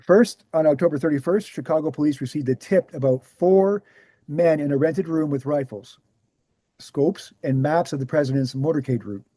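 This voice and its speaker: A man reading the scripted part of a measured author who answers questions at a talk, deliberate and explanatory. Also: fairly high pitch, dark tone, dry recording, close to an everyday voice. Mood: grave, explanatory. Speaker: actor in a screenplay table read. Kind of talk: scripted dialogue read aloud.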